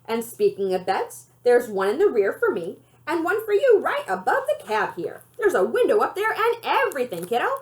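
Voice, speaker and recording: A woman voicing a proud, feisty storybook grandmother who talks in a folksy, emphatic, excited way. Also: high voice, normal speed, few pauses. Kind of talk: children's storybook character voice.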